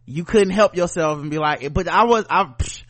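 An American man hosting a podcast, chatting casually and comedically with animated, profane riffing. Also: high-pitched, normal speed, no room sound. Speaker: podcast host.

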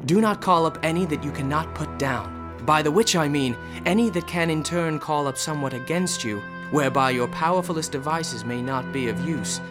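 A man narrating an eerie historical radio drama as a grave storyteller, formal and deliberate in delivery. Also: on the high side, lightly acted. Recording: clear dramatic music underneath.

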